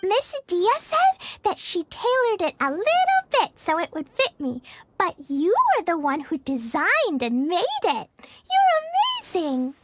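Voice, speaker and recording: A woman's voice: very high voice, wildly swooping pitch, unhurried, slight background noise, heavily acted. A woman voicing a sweet, innocent, eager little girl mage in a video game, with a childlike voice. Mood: admiring. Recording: music playing behind the voice.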